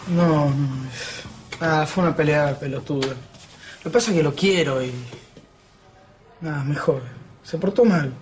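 Troubled young Argentine man, played by an actor, highish voice. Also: unhurried, pauses often, slight background noise, natural voice. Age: young adult. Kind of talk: telenovela acting.

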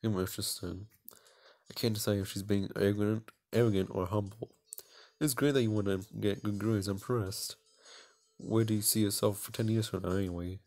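A man's voice: fairly low pitch, steady tone, a little fast, a little mellow. Casual man reading a visual novel aloud. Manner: stumbles over words while reading, self-mocking. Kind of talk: casual gaming commentary and read-aloud narration.

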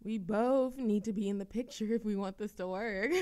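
A woman's voice: medium pitch, normal speed, natural voice. A woman playing a playful, dramatic young woman chatting teasingly with a best friend in an audio roleplay. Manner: animated complaining, teasing, theatrical exasperation. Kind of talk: intimate friend roleplay audio acting, conversational.

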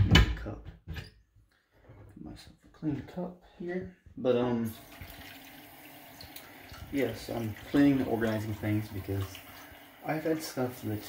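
A man's voice: on the low side, roomy sound, background noise. A man in his late twenties talking casually in a rambling vlog, with lots of fillers and restarts. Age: late 20s. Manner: meandering, many fillers and restarts. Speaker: art vlogger. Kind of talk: voice-over while drawing. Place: small apartment kitchen, indoors. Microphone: handheld camera at arm's length.

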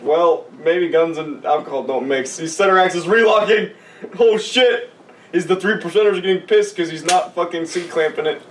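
A man's voice: fairly high pitch, moderate pace, roomy sound. A young man in boisterous, joking, profane casual banter with a group, recorded in a small room from a distant camera. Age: young adult. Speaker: podcast panelist. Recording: distant mic, noisy room, clicks.

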